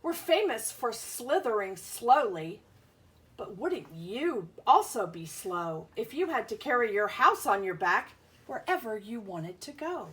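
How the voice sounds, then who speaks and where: A woman's voice: a little high, sing-song, a little slow, fairly bright tone. A woman teacher reading a picture book aloud, explaining things simply and putting questions to young children. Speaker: schoolteacher reading aloud to pupils.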